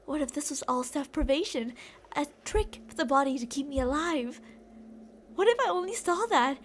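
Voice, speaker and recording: A woman's voice: extremely high pitch, slight background noise. A woman playing an obsessive, delusional young captor in an intimate, rambling ASMR roleplay monologue addressed to a captive. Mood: anxious, brooding.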